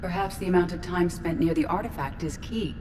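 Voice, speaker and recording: An actress playing a calm female ship AI who speaks in a precise, measured, literal way. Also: fairly low pitch, big-room sound, background noise, natural voice. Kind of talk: video game dialogue.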